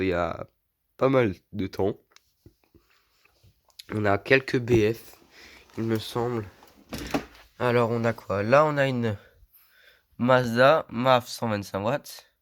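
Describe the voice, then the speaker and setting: A hoarse man with a cold talks through his latest finds in a loose, rambling way. He fills his sentences with small fillers and restarts. His voice sits at an ordinary, mid pitch for a man. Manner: rambling, many fillers like voilà and euh. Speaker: lighting collector vlogger. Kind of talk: casual show-and-tell vlog.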